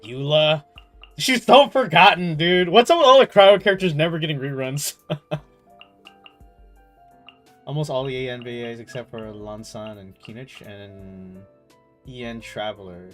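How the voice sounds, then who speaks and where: A young man chats on a game livestream in a somewhat high voice. He is casual, slangy and playful as he reacts, with faint game music behind him. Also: measured pace, pauses often, fairly bright tone. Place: in a room at home.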